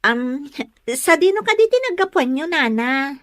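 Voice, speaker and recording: A woman's voice: high-pitched, very animated, unhurried, fairly bright tone, no echo, studio quality, exaggerated voice. A woman playing a sweet, polite, coy young woman in love in an Ilocano comedy drama. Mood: polite, tentative.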